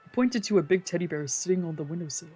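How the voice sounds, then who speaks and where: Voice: mid-range voice, animated, fast, a little mellow, breathy edge. A streamer reading a visual novel's narration aloud, occasionally stumbling over a word. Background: background music from a video game.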